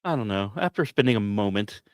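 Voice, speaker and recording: A man's voice: lowish voice, lively intonation, brisk, darkish tone, clear, resonant tone, no room sound, studio quality. A man hosting a podcast, narrating science news from notes with casual, wry asides. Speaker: podcast host.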